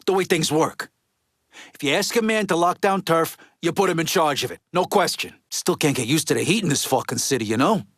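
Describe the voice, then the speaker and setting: An actor playing a tough, foul-mouthed middle-aged Italian-American mobster in a video game, with an American East Coast accent and blunt street talk. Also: male voice, a little high, expressive, fairly quick, fairly bright tone, no echo, studio quality. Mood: angry, threatening, then businesslike. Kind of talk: video game character dialogue.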